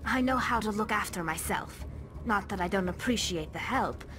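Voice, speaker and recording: A woman's voice: medium pitch, moderate pace, close to an everyday voice. An actress voicing a young, wary, self-reliant alien woman in a video game, guarded but earnest. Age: young adult. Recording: music behind the voice.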